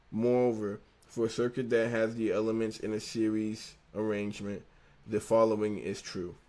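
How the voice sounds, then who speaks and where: A young man with a slight lisp reads through an engineering textbook on a relaxed livestream. His voice sits a little low and sounds mellow and unhurried. He drops into easy slang and backs up to reread lines when he stumbles. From United States. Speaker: livestream host studying engineering. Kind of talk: casual livestream, reading a textbook aloud.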